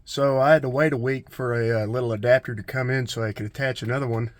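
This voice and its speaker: A man's voice: mid-range voice, steady tone, fast talker, no room sound. A man giving a casual, unscripted hands-on DIY walkthrough, thinking aloud as he goes. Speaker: DIY vlogger. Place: in and around a pickup truck.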